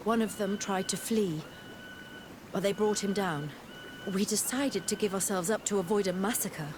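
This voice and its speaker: An actress playing a determined, wary scientist-explorer in a fantasy game, earnest and articulate. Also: female voice, mid-range voice, moderate pace, background noise, natural voice. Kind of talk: scripted game cutscene acting.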